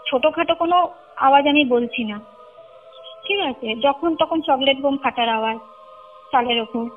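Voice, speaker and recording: A young woman with a very high voice recounts a personal experience in Kolkata Bengali. Her telling is conversational and emphatic, with repeated points and little checks on the listener. She comes through a narrow, tinny phone line with music playing underneath. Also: normal speed. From Kolkata, India. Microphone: phone call. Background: clear music bed.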